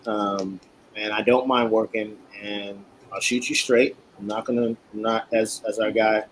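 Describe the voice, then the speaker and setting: A man's voice: fairly low pitch, little pitch movement, unhurried, slight background noise, rough recording. A man from Queens, a guest in a casual chat, talking in a blunt, emphatic way with many restarts. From Queens, New York.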